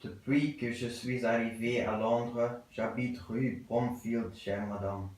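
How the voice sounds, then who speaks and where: A man playing a stiff, courteous middle-aged English gentleman in an absurd stage play, with formal, ceremonious and repetitive speech. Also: medium pitch, steady tone, slow pace, lightly acted. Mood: ceremoniously curious. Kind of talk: theatre acting of an absurd play. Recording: very echoey room, voice from far off.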